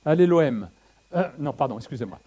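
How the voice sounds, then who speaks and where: A middle-aged man from France preaching a sermon with slides, conversational and lively, joking with the congregation and swinging between soft and loud passages. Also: fairly high pitch, a little fast, breathy edge, lo-fi sound. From France (Le Havre).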